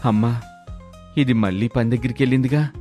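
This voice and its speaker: A man's voice: mid-range voice, fairly even intonation, a little fast, dark tone, soft background music, natural voice. A man playing a lazy village husband who complains and wheedles his way out of things in a storybook tale. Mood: complaining. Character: lazy, whining village husband full of excuses. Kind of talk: storybook character voice.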